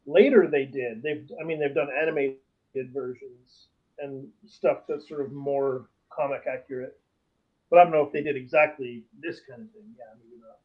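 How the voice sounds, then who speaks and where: A man's voice: mid-range voice, moderate pace. A man in casual conversation as a podcast co-host, recorded over a lo-fi connection.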